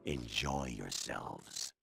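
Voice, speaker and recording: An actor playing a sinister artificial intelligence that poses as a commanding older man, smug and coldly deliberate, in a video game. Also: rumbling low, animated, slight background noise, full character voice. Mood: mocking. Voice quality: gravelly. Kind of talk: dramatic video game acting. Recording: loud music behind the voice.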